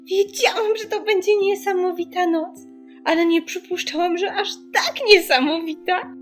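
A woman voicing an excitable, easily frightened little girl in a children's audiobook, with wide-eyed manner. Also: very high-pitched, moderate pace, soft background music, pitched above the performer's own voice. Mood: excited.

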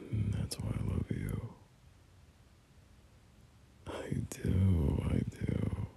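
A man playing a gentle, doting boyfriend in an ASMR roleplay, half-whispering soothing, hesitant reassurance in a breathy murmur. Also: rumbling low, heavily acted. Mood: affectionate, tender. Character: gentle, doting boyfriend comforting an unwell partner.

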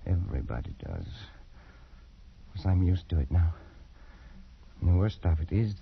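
An actor playing a haunted, prematurely aged Englishman in a post-war radio drama, with a British accent and a halting, pleading delivery. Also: deep voice, very animated, creaky, slight background noise, heavily acted. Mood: haunted, insistent. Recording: muffled old radio recording.

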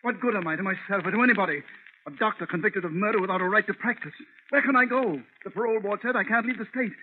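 An actor playing a broken, bitter ex-convict surgeon, agitated and pleading, in 1930s radio drama style. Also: male voice, high voice, fast, lightly acted. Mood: despairing. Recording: scratchy, muffled, narrow-band 1930s radio.

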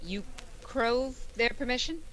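A woman's voice: on the high side, unhurried. Woman acting a dry, wry schoolteacher, noisy recording. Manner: dry, deadpan wisecracks. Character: wry, sardonic female high school English teacher. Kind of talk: old-time radio comedy acting.

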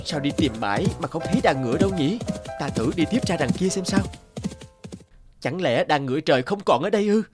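A man voicing a devoted, kindhearted young fiddler in a fairy-tale cartoon, earnest and expressive. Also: a little high, a little fast, close to an everyday voice. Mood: anxious. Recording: music under the voice.

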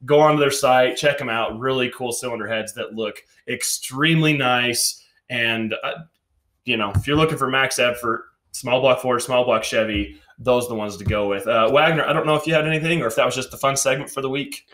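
A man's voice: mid-range voice, a little fast, fairly bright tone, echoey room. A man in his thirties hosting a casual car show with an upbeat, enthusiastic manner, wearing a headset in a garage studio. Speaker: show host. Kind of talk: casual podcast chat.

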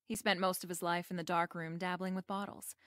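A woman's voice: lowish voice, flat delivery, a little fast, a bit bright, no room sound, close to an everyday voice. Woman playing a sassy, close-miked lady detective. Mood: wry narrating. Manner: snappy, wry, hard-boiled. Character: sassy, wisecracking, flirtatious female private detective. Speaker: lead actress. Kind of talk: remake of 1940s radio detective drama, with narration.

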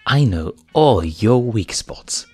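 A man's voice: on the low side, expressive, mellow, husky, soft background music, pitched above the performer's own voice, exaggerated voice. A man voicing a playful young lioness cub girl with a teasing, bouncy manner in a fanfiction reading. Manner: teasing, bouncy, quick to tease.

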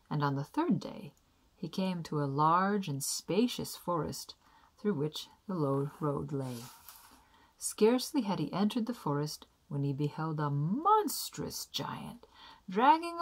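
A young woman narrates a storybook tale for children. Her voice sits low for a woman and has a husky, breathy grain. She reads in a deliberate, careful way, as a storyteller in a small room. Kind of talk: reading a children's fairy tale aloud.

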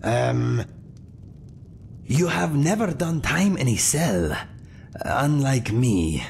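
A man voicing a feline game companion, wry and honorable, with formal phrasing and deadpan humour. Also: mid-range voice, extremely slow, vocal fry, a little hiss or hum, full character voice. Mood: wry, casual. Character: feline Khajiit adventurer, wry, guilt-ridden, honorable companion. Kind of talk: video game character dialogue.

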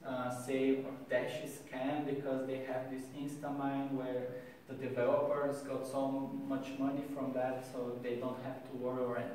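A young man giving a meetup talk to a small audience, hesitant with many pauses and restarts, recorded by a distant camera in a small room. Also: medium pitch, little pitch movement, darkish tone, a little hiss or hum. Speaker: meetup speaker. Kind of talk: presentation and Q&A. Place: small room with wall art and screen. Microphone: far camera, no mic. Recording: echoey room from far off.

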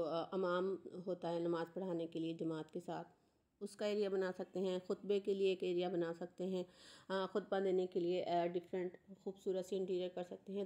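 A woman hosting a casual design vlog voice-over, speaking unhurriedly with frequent hesitations. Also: medium pitch. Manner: unhurried, many hesitation fillers; gently repetitive praise. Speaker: channel host.

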